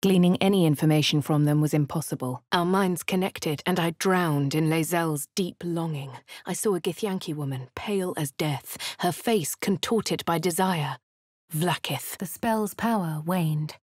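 A woman with a posh English accent voicing a composed young adult adventurer, with measured, clipped narration in a dry studio. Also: low-pitched, a little mellow, no echo. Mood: grave. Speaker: player character voice. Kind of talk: game voice lines.

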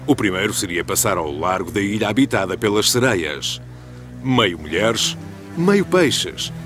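A man narrating an animated ancient legend as a solemn storyteller, in European Portuguese with dramatic scripted delivery. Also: lowish voice, measured pace, over music.

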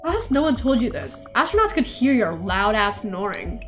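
A woman's voice: fairly high pitch, sing-song, normal speed, fairly bright tone. A woman voicing a broke, blunt college student in a visual novel, with a dry, teasing, slangy manner. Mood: wry, teasing. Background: game music.

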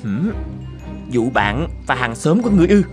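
A man's voice: medium pitch, big pitch swings, normal speed, over music, lightly acted. A man voicing a burly, mocking, suspicious hunter in a cartoon, theatrical and blustering. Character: burly middle-aged male hunter, gloating, mocking, suspicious. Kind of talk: cartoon character acting.

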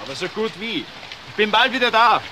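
A man playing an agitated young car salesman in a crime radio drama, excitable, indignant and colloquial. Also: high-pitched, a little fast, heavy background noise.

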